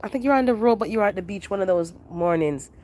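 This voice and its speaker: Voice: mid-range voice, lively intonation, fast, darkish tone. Stuffy nasal gardener rambling on a phone live stream out in the backyard, easygoing chatty vibe. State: congested, nasal from a head cold. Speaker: gardening livestream host. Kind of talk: casual live chat with viewers.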